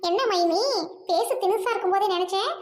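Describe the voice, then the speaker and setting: Woman doing a shrill quarrelsome woman. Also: a little fast, roomy sound. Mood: angry, taunting. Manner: scolding, taunting, repeats demands to answer. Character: quarrelsome village woman, sharp-tongued sister.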